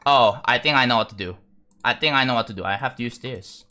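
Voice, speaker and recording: Bright-voiced streamer man rambling. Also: medium pitch, fast talker. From Indonesia. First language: Indonesian. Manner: rambling, full of "like" and restarts, talking to chat. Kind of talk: live-stream chat while building a game level.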